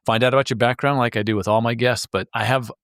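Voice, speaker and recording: A man's voice: mid-range voice, steady tone, fast talker, fairly bright tone, clean tone, no room sound, clean studio sound. A man hosting an interview podcast, upbeat and enthusiastic in a casual chat, often restarting his words. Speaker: podcast host.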